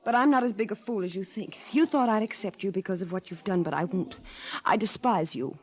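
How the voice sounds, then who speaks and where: An actress playing a proud, virtuous young mission worker, measured and earnest, in an old-time radio melodrama. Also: female voice, mid-range voice, close to an everyday voice. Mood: bitter, wounded.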